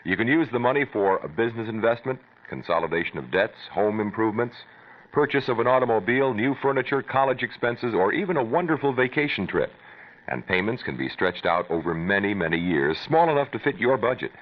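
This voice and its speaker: A man reads a radio commercial with a persuasive pitch. His voice rises and falls in a lively way. The sound is tinny and phone-like, from an old broadcast. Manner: persuasive sales pitch, slowing down for numbers. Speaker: commercial announcer. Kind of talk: radio advertisement.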